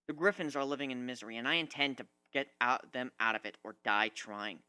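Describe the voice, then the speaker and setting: A man's voice: medium pitch, fairly quick, pushed and bright, smooth. A man voicing a proud, embittered young griffin warrior in a story reading, blunt and emphatic. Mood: indignant.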